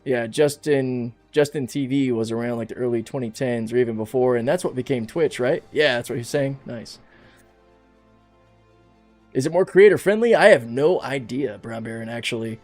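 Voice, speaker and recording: A man's voice: medium pitch, moderate pace, a little mellow, soft background music. A man in his thirties chatting casually on a game livestream, reading out and answering chat comments as he plays. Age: 30s. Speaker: streamer.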